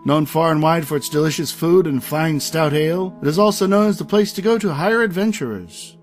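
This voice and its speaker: A man reading scripted narration for a high fantasy audio drama, as its storyteller. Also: a little fast, natural voice. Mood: measured, grand. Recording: music under the voice.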